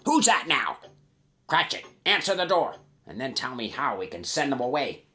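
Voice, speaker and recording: A man's voice: mid-range voice, sing-song, moderate pace, pushed and bright. Man acting a snarling, grouchy old miser. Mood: annoyed, bossy. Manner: curt, snarling, dismissive. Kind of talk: rhyming verse storytelling.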